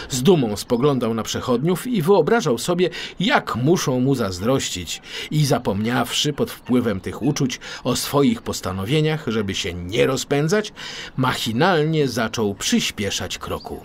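A man narrating a children's audiobook in an expressive, scripted storytelling style. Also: mid-range voice, a little hiss or hum.

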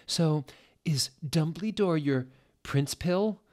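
A man's voice: on the high side, slow pace, a little mellow, voice pushed up. A man voicing a melodramatic, easily outraged teenage goth girl in an exclamatory fan fiction reading. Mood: eager.

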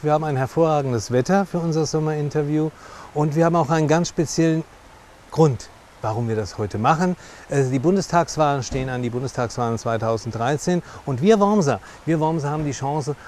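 A man's voice: mid-range voice, darkish tone. A middle-aged man hosting an interview, speaking emphatically and repeating phrases for stress. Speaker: interviewer and host. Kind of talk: television interview presenting. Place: outdoor lakeside café terrace. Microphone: lapel mic. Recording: clear outdoor background noise.

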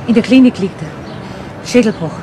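An actress playing a brisk, worried middle-aged mother and businesswoman in a 1970s radio crime play. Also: mid-range voice, expressive, lightly acted. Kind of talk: 1970s German radio crime drama acting. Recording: noisy vintage radio play recording.

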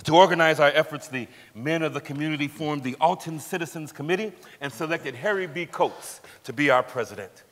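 A middle-aged man on stage playing a dignified, resolute father giving measured dramatic narration. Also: fairly high pitch, a bit bright, close to an everyday voice. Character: dignified, resolute father recounting his community's struggle. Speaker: actor in a staged reading. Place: large theatre stage, indoors. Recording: echoey theatre hall, long reverb.

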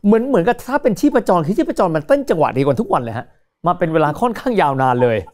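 Quick, lively male interview host. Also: sits high. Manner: polite, brief acknowledgments, quick questions. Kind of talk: interview hosting.

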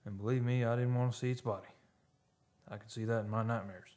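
An actor playing a haunted, obsessed oil driller, telling his story haltingly in an old-time radio play. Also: mid-range voice, fairly even intonation, a little mellow, lightly acted. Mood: uneasy fascination. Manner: halting, repeating words, dramatic. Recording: live studio radio play, noisy background.

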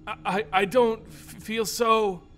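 Frail young vampire man, acted by the narrator. Strained, stuttering, fearful, pitched high, storybook horror with music behind. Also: steady tone, takes it slowly, fairly bright tone. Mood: choking fear. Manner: stammering, strained. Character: young, frail, frightened male vampire, choking.

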